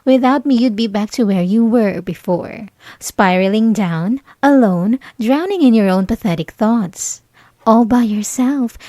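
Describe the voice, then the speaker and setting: A young woman playing a mocking, possessive bully in an intimate ASMR roleplay, condescending and teasing, with breathy asides. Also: medium pitch, mellow, no echo. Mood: possessive, menacing. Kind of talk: ASMR roleplay, intimate scripted monologue to listener.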